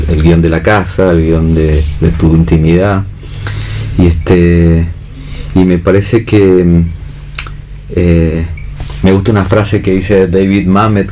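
A man's voice: sits low, dark tone, a little hiss or hum. An Argentine man reflecting aloud in an unscripted discussion, searching for words and often checking with tag questions. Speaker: theatre director and actor, panel guest.

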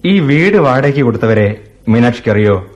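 Actor as a polite, inquisitive Malayali stranger, mid-pitched. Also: male voice, lively intonation, moderate pace, close to an everyday voice. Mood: polite, probing. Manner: courteous, questioning, hesitant openers. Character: polite, inquisitive male stranger making enquiries. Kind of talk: radio drama acting.

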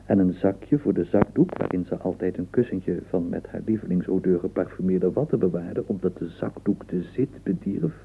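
A man narrating a radio drama as a florid storyteller, in a measured, gravelly voice. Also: low voice, a little hiss or hum. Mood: measured, admiring. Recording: narrow, phone-like radio play recording.

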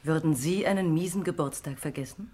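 An actress playing a blunt, jaded party-going witness in a German radio crime drama, with a husky voice and terse, matter-of-fact answers. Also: female voice, low voice, brisk, dark tone. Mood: jaded, certain.

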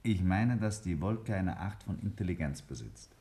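A man's voice: low-pitched, animated, moderate pace, warm tone, breathy edge. A man acting a self-assured scientist in a 1950s German radio play, arguing didactically and emphatically with slight irony. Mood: explaining, insistent. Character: Kingsley, self-assured male scientist arguing a bold theory.

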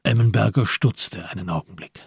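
A man as the sober narrator of a radio crime drama, telling the story in measured literary prose. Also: on the low side, vocal fry, husky, dry recording, clean studio sound, heavily acted.

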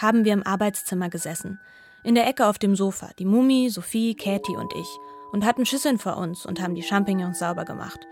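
A female housemaid , played by an actress, in a radio play, speaking at a middle pitch over music. She tells her memories simply, with fond nostalgia. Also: close to an everyday voice. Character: housemaid fondly recalling cosy evenings.

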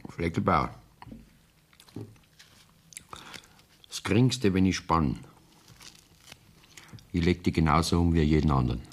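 An actor playing a hunted, defiant outlaw in a 1970s radio play, with a gruff, threatening manner and a Bavarian accent. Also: male voice, deep voice, animated, moderate pace. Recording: noisy radio play recording.

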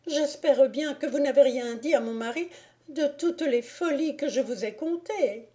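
Woman putting on a high-voiced, coy wronged wife. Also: a little slow, mellow. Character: coy married woman, theatrically innocent and wronged.

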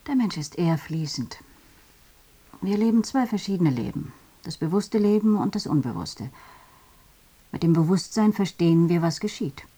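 A low, husky female voice as a reflective journalist in a radio drama. She recounts what she has witnessed in a measured, sober way. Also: animated, mellow. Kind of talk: radio drama documentary monologue.

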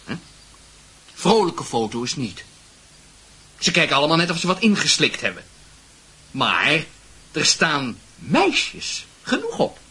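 A mocking, pompous male schoolmate in a radio play. The husky voice swoops extravagantly up and down in a slow drawl, teasing theatrically. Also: a little high, wildly swooping pitch, bright, forceful tone, heavily acted. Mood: wry, teasing. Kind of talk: radio drama dialogue.

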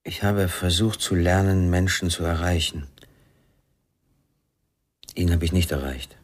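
A deep, husky, troubled father. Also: measured pace. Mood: regretful, reflective. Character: weary, troubled father searching for his son. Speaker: radio drama actor. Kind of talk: radio crime drama acting.

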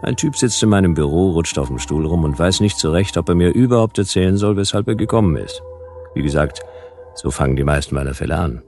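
A man's voice: low-pitched, expressive, fast talker, a little mellow, faint music behind. An actor playing a world-weary, wry private detective who narrates his case in laconic, sardonic phrases in a radio play. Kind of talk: hard-boiled radio drama narration.

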